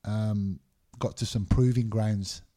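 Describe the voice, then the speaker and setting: A man's voice: fairly low pitch, fairly even intonation, slow, warm tone. A middle-aged man, born in Scotland and raised in England, talking candidly as a guest on a casual podcast, rambling with lots of fillers. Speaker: MMA referee, guest.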